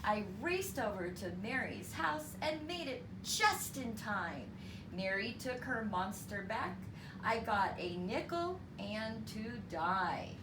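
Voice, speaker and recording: A woman reading a story aloud to children in an expressive, deliberate, teacherly way. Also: a little high. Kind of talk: expressive read-aloud storytelling with counting lesson. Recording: roomy, distant mic with clear background noise.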